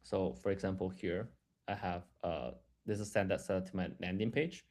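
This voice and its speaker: A man giving a live, explanatory software demo in a halting way, repeating words and restarting as he goes. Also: low voice, steady tone. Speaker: software product presenter.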